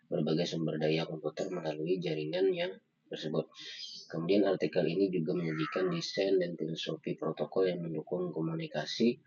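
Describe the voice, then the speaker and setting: A young man giving a halting student slide presentation, pausing often on fillers. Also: low-pitched, darkish tone. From Indonesia. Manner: halting, with frequent filler pauses. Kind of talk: slide presentation of an article review. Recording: dull lo-fi microphone sound.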